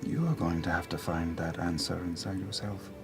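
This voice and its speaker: A man performs a soft-spoken German wizard in tabletop roleplay, weary but kind. The voice sits low with a gravelly creak, moving in halting, gentle phrases. Music plays prominently behind it. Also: steady tone. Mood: solemn, gentle.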